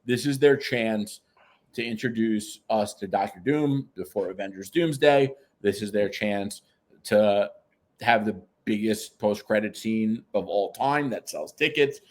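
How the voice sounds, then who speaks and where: A young man with a fairly low voice talks as a podcast co-host, eager and emphatic. His chat is loose and casual, full of fan excitement. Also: measured pace.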